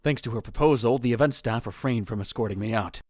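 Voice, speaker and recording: A man's voice: mid-range voice, quick pace, darkish tone, dry recording, clean studio sound, close to an everyday voice. A man playing an arrogant, theatrical male college student who narrates a visual novel fan dub in a pompous way. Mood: wry narrating. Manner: pompous, dramatic delivery with exclamations.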